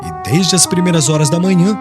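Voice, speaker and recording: A man reading a literary audiobook narration in a solemn, formal, expressive manner. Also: fairly high pitch, brisk, over music.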